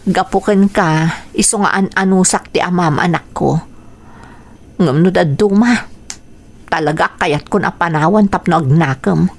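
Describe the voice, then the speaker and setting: Weary, low-pitched Ilocano mother. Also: a little slow, a little mellow. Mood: weary, resigned. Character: weary, long-suffering Ilocano mother, fed up at home. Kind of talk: radio drama acting.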